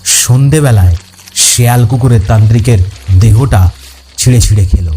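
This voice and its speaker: A man's voice: mid-range voice, normal speed, dark tone, a little hiss or hum. A man reading scripted ghost story narration as a storyteller.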